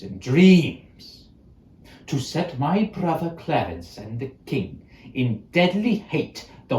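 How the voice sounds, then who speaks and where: A man's voice: medium pitch, a little mellow. A man performing a bitter, scheming, deformed royal villain in a declamatory Shakespearean monologue. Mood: sinister scheming. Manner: declamatory, slow with dramatic pauses and swings. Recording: roomy, echoing space.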